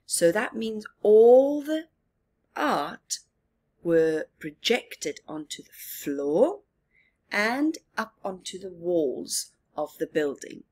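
A middle-aged woman reading aloud to children with commentary, in an expressive, emphatic manner. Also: medium pitch, extremely slow, husky. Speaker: storyteller for children. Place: small living room, indoors.

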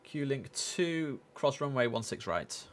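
A man's voice: mid-range voice, big pitch swings, measured pace. A young man muttering casual gaming commentary to himself, switching into clipped air traffic controller phrases. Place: indoor home streaming setup. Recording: noisy room with keyboard clicks.

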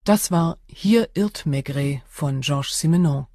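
An announcer reads the closing credits of a radio play from a script. The delivery is slow, in a very dry studio sound. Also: mid-range voice, mellow, dry recording.

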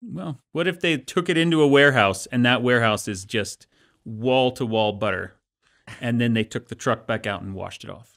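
A man's voice: mid-range voice, normal speed, vocal fry, a bit bright. A man in his forties co-hosting a podcast, asking wry, skeptical questions in casual chat. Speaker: podcast co-host. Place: small studio set indoors.

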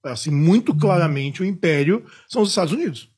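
A man on a film podcast, talking in an animated, slangy and profane rant. His voice sits a little high and swings widely in pitch, with a husky edge. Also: fast. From Salvador, Bahia, Brazil. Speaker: film critic, podcast panelist. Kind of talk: casual podcast chat.

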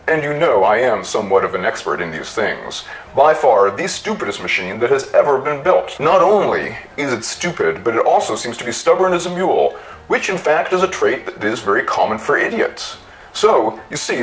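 A pedantic expert inventor, as a male reader puts him on in a storybook tale. He reasons things out in a measured, wry, explanatory way. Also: mid-range voice, a bit bright, voice pushed up, rough recording. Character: pedantic, reasonable male constructor friend, self-declared expert.

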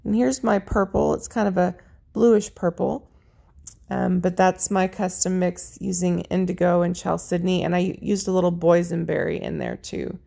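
A woman narrating her paint pouring video in a chatty, friendly way, her voice a little raspy. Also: fairly low pitch, steady tone, moderate pace, warm tone. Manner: chatty, friendly, lists colors as she works. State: slightly raspy voice. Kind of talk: casual how-to narration over craft footage.

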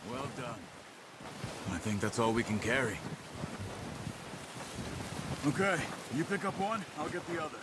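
A man playing a calm, serious hunter who guides a companion with measured, terse instructions in video game dialogue. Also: mid-range voice, very noisy, lightly acted. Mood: serious, approving. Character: seasoned male hunter guiding his companion, calm, patient, serious. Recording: loud music behind the voice.

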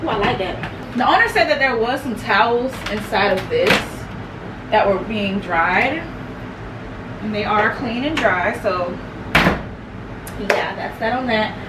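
A young woman giving a casual house tour vlog, chatting in an animated, slangy, gushing way. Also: medium pitch, unhurried, long pauses. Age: young adult. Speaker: vlogger. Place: indoors, rooms of a house. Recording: echoey rooms with clear background noise.

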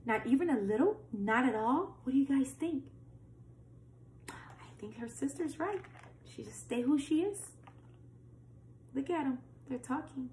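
A woman's voice: sits high, animated, unhurried, long pauses, a little mellow, a little hiss or hum. A young woman reading a picture book aloud to children, adding simple explanations and questions for her young listeners. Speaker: storytime reader for children. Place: small plain-walled room indoors. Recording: roomy, echoing home recording.

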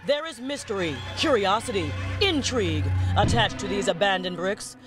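A man's voice: high-pitched, lively intonation, moderate pace. An American man narrating a scripted television news report in a dramatic style. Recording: news clip with music behind.

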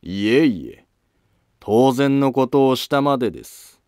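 A man's voice: mid-range voice, lively intonation, a little slow, darkish tone, clean tone, no room sound, clean studio sound, natural voice. A man playing a polite, probing court noble in a historical drama, speaking in formal, deferential, insinuating phrases. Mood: probing, courteous. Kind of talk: scripted historical drama dialogue.

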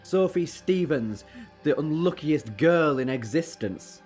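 A woman playing a brisk, eccentric, exasperated time-travelling alien adventurer in a sci-fi audio drama, with a teasing, emphatic manner. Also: sits low, animated, darkish tone, faint music behind.

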